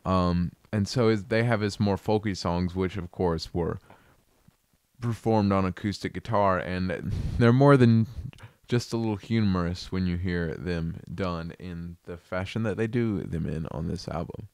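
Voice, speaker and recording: A man with a very low voice that sounds smooth and clear, talking in a relaxed, amused ramble. He is recorded dry and close, with no room sound around him. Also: a little slow, dry recording. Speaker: video host reviewing an album. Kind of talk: casual commentary.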